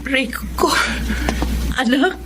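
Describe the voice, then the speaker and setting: A woman's voice: high voice, drawn-out words, over music. An actress playing a loving, repentant middle-aged mother in a Tagalog radio drama. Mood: emotional reunion.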